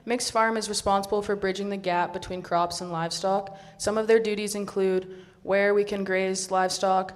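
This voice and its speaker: A woman's voice: medium pitch, little pitch movement, normal speed. A young woman acting as emcee, announcing each presenting group in a scripted, orderly way.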